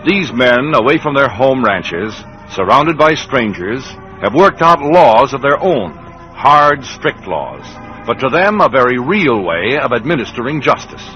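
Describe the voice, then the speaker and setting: A man's voice: medium pitch, very animated, a little slow. A man delivering dramatic scripted narration for a 1950s radio western. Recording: muffled old radio recording. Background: faint music.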